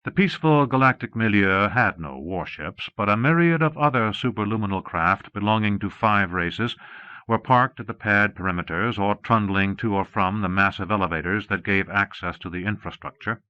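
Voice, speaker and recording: A man with a fairly low voice narrates a novel in measured, formal phrases. The sound is very dry and somewhat dull, like an audiobook on cassette. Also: smooth, no room sound. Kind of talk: scripted narration of a science fiction novel.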